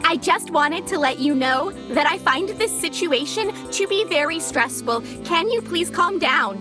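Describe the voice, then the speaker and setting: Woman acting naive computer character, high-pitched, swooping intonation, formal stilted phrasing, audio comedy drama. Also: animated, unhurried, music in the background. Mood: hurt, earnest. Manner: formal, stilted wording without contractions. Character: prim, naive, literal-minded computer running a digital afterlife.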